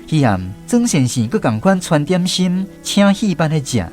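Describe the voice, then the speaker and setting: A man's voice: a little high, very animated, normal speed, a little mellow, faint music behind. A man with a Taiwanese accent giving scripted storytelling narration for a radio drama.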